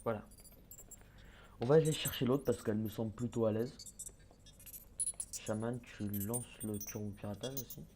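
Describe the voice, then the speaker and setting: A man's voice: fairly low pitch, normal speed, pauses often, warm tone, faint music behind. A young man giving casual let's-play commentary, hesitant and full of fillers, muttering to himself as he plays. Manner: hesitant, many fillers and restarts, mutters while playing. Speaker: gaming YouTuber. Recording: faint background noise.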